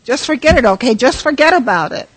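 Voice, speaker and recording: A woman plays an anxious, playful wife in a radio drama. Her voice is fairly high and husky, and she is quick to bristle. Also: lively intonation, rough recording. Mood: upset. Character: touchy, playful, anxious wife of a bar owner.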